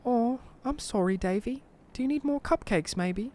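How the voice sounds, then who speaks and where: A man's voice: very high-pitched, normal speed, darkish tone, voice pushed up. A man voicing a sweet, coy and pushy elderly English woman in a storytelling narration, cooing endearments. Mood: concerned, coaxing. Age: 70s.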